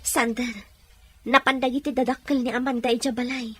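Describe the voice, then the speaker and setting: High-voiced worried young friend played by a woman. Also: little pitch movement, brisk, fairly bright tone, breathy edge. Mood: anxious. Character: worried young female friend, caring and earnest. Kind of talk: audio drama acting.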